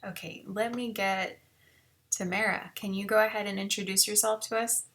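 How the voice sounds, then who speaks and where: A woman from South Texas, a college biology instructor, giving a relaxed, chatty online class orientation over a video call. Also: mid-range voice, normal speed. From Rio Grande Valley, South Texas. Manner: relaxed, chatty, many fillers.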